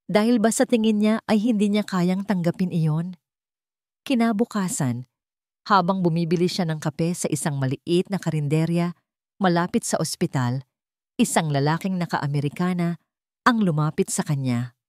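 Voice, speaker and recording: Low-pitched Filipino woman narrating a drama. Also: normal speed, a little mellow, no echo, studio quality. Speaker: storyteller. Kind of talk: scripted dramatic story narration.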